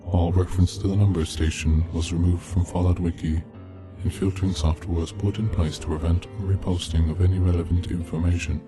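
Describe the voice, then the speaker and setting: A man reading a scripted eerie story as narrator, in a measured, solemn, deadpan way. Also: low-pitched. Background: background music from game soundtrack.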